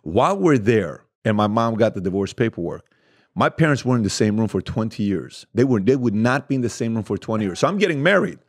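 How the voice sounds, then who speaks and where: A man in his forties from Iran, a native Assyrian speaker, telling stories as a podcast guest in a studio, animated and joking. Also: on the low side, breathy edge. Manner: animated storyteller, quick asides and self-deprecating jokes. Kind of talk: casual interview storytelling. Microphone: studio mic with headphones.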